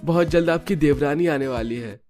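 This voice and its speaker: A man's voice: a little high, a little fast, darkish tone, faint music behind, voice pushed up, natural voice. Man as a cheerful young brother-in-law, raised voice. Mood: happy, caring. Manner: friendly, respectful.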